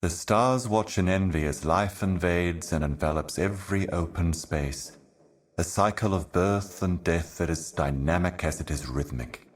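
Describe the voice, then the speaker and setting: Extremely deep, ancient cosmic envoy. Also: male voice, slight background noise. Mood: grave, ominous. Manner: grave, brooding, measured, portentous. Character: ancient solemn otherworldly envoy, weary eternal servant of cosmic darkness. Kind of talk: scripted game monologue, cryptic poetic narration.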